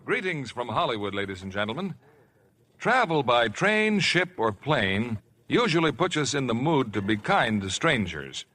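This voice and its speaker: A man hosts a 1950s radio theater with polished formality. His voice is bright and projected with a rough, husky edge and a lively melody, over faint music on an old broadcast. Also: mid-range voice. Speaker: radio theater producer and host.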